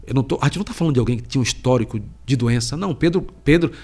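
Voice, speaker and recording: A middle-aged man, a podcast host and preacher, giving a slow, halting personal faith testimony while he has a cold. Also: mid-range voice, warm tone. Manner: slow, halting, heavy pauses.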